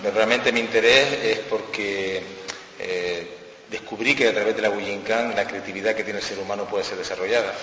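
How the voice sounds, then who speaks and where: A man, a martial arts instructor, answering interview questions in measured, reflective sentences for an interpreter. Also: fairly low pitch, fairly even intonation, sharp tone, recorded from a distance. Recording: echoey hall, off-mic, faint noise.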